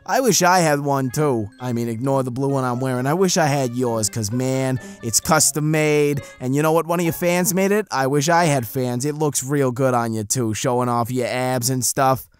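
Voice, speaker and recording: A man's voice: mid-range voice, normal speed, few pauses, soft background music, voice pushed down, natural voice. A man voicing a cocky, laid-back giant lizard monster with a sarcastic, mocking manner in a cartoon dub. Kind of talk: cartoon comic dub voice acting.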